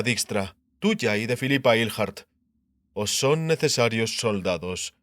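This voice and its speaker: A man's voice: medium pitch, fairly bright tone, no room sound, lightly acted. An actor playing a shrewd, self-assured king in an audiobook drama, pompous and drily sardonic. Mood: matter-of-fact.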